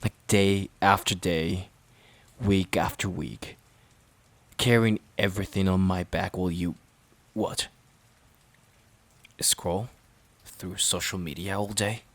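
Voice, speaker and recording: A man playing a resentful, overworked boyfriend in an emotional audio roleplay, halting and sighing as he repeats himself. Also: low-pitched, steady tone, heavily acted. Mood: exasperated, accusing. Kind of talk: emotional audio roleplay, intimate dramatic monologue.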